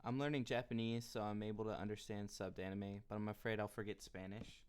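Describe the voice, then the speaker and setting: A man live streaming a building game, chatting casually with his viewers and reacting with excited exclamations. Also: lowish voice, brisk, a little hiss or hum. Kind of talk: casual live-stream chat while gaming.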